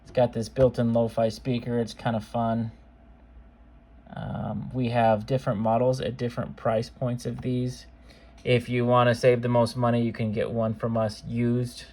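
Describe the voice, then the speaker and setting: Man, mid-pitched voice, casual product demonstration, seller, conversational, offhand phrasing. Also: level tone, a little slow, darkish tone. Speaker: seller demonstrating modified cassette players.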